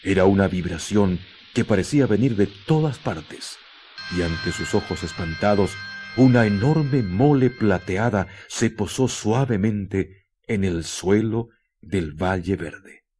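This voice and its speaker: A man narrating a radio drama in a measured, suspenseful manner, with deliberate pauses between short phrases. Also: fairly low pitch, animated, warm tone. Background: music.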